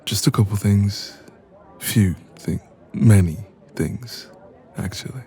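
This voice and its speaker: A man playing an insecure, anxious young man confiding in a friend in an intimate audio roleplay, soft-spoken and hesitant. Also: fairly low pitch, slow, creaky. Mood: downcast, vulnerable.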